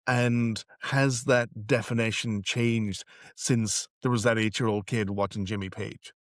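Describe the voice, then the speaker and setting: A man's voice: fairly low pitch, fairly even intonation, unhurried, no room sound. Male podcast host, dry close sound. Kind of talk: conversational interviewing.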